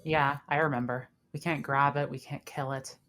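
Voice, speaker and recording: A woman's voice: sits low, fairly even intonation, normal speed, a bit bright. A woman playing a stern, wary senior officer on a spaceship, blunt and challenging, in a sci-fi table read.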